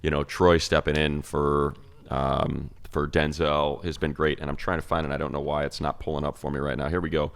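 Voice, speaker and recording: A man's voice: a bass voice, little pitch movement, fairly quick, vocal fry, clean tone. A man chatting casually and emphatically as a football show panelist, breaking down players and game film.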